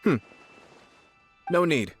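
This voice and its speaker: A man's voice: a little high, expressive, soft background music. Composed, courtly nobleman. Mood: calm, assured. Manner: formal, polite, measured, elaborate wording. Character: courtly young nobleman, clan head, composed and gracious. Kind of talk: anime video game voice acting.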